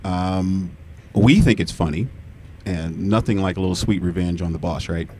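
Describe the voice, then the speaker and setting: A man's voice: sits low, moderate pace, a little mellow, a little hiss or hum. A man co-hosting a casual radio interview from a studio, chatting and putting questions to a guest. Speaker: radio co-host.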